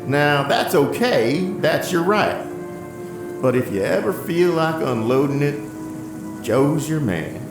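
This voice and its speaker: A man's voice: fairly high pitch, animated, slow pace. An actor playing a kindly, world-weary older diner owner in an audio drama, fatherly and plainspoken. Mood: warm, fatherly. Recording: echoey, with loud music underneath. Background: music.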